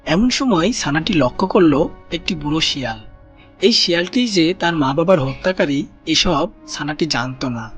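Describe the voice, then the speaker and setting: Storybook narrator over clear music. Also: mid-range voice, animated, moderate pace. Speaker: narrator of a children's cartoon channel. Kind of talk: scripted storybook narration for children. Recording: music bed behind narration.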